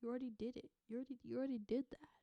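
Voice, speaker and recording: A woman from the American South giving an intuitive tarot reading to viewers. She gives earnest advice, repeats phrases for emphasis and laughs softly. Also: a little high, steady tone, racing, gravelly, dry recording, highs cut off. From Southern United States. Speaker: tarot reader.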